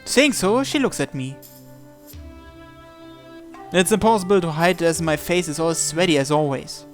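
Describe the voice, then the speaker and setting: A man's voice: fairly high pitch, expressive, a little slow, faint music behind. A man narrating a visual novel in the first person, reading from the script.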